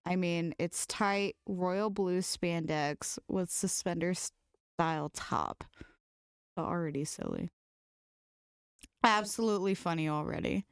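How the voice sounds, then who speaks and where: Smooth lower-voiced woman podcaster. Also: big pitch swings, slow, no echo, clean studio sound. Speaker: podcast host reading stories aloud. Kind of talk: casual comedic chat and story reading.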